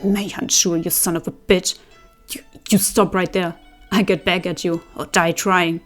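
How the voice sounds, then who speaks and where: Woman putting on a loud hotheaded young warrior dude, snappy and indignant, soft music behind. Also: on the low side, steady tone, normal speed, lightly acted. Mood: furious. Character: brash hot-tempered young male warrior.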